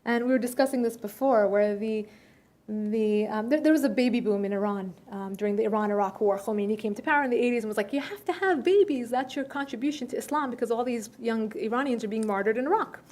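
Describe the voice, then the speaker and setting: A young adult woman answering questions at a luncheon, speaking in a measured way with filler pauses and restarts. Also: a little high, a little mellow. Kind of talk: conversational Q&A talk. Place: luncheon room.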